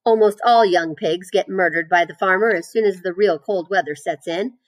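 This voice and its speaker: A woman's voice: on the low side, moderate pace, no room sound, lightly acted. A woman voicing a world-weary, gossipy old ewe in a storybook, grimly matter-of-fact and knowing.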